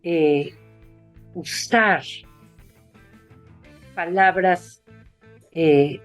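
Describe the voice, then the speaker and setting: A woman's voice: on the low side, drawn-out words, faint music behind. A woman writer being interviewed over a video call, talking casually and hesitantly with many fillers and restarts. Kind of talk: casual conversation.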